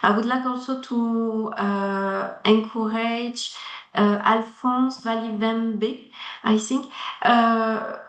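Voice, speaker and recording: A woman moderating a webinar over a video call, speaking hesitantly with careful phrasing. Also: on the high side. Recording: echoey room on a video call.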